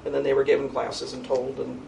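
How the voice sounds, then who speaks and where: A woman with a low-register voice giving an informal annual program report to a board, conversational, with frequent ums and restarts. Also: monotone, brisk, gravelly, warm tone. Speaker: youth program coordinator presenting an annual report. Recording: distant mic in a meeting room with faint noise.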